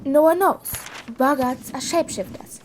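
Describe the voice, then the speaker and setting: A woman playing an eager, bright, easily indignant schoolgirl in an audio drama. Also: sits high, moderate pace, a little hiss or hum, natural voice. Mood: knowing. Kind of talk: fan audio drama acting.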